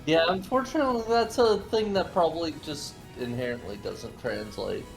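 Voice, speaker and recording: A man's voice: a little high, lively intonation, moderate pace, vocal fry, rough recording. A man co-commentating on a game stream in casual chat, with game music behind him.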